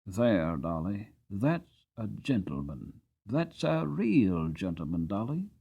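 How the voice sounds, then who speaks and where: A man voicing a humble, grateful cabman father in a storybook, speaking gently and earnestly. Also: on the low side, sing-song, takes it slowly, vocal fry, husky, highs cut off, voice pushed down, full character voice. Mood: grateful admiration.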